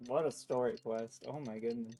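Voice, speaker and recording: A man's voice: medium pitch, steady tone, normal speed, warm tone, soft background music. A man giving casual live commentary as he streams a game, easygoing, with muttered asides.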